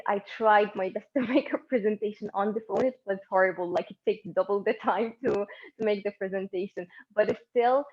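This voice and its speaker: Lady teaching english online, lots of ums and uhs, kinda dull stream audio. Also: medium pitch. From Egypt. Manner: relaxed and encouraging, with many fillers and restarts. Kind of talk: live online conversation class. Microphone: laptop or phone mic on a video call.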